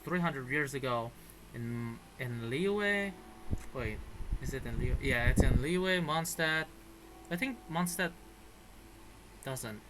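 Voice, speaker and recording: A man giving casual reaction commentary on a game, thinking aloud in a halting way. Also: medium pitch, noisy background. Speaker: gaming streamer.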